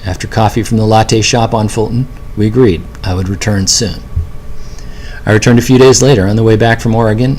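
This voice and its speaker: A man's voice: on the low side, darkish tone, slight background noise, poor audio. A middle-aged American man reading his own first-person memoir as scripted audiobook narration.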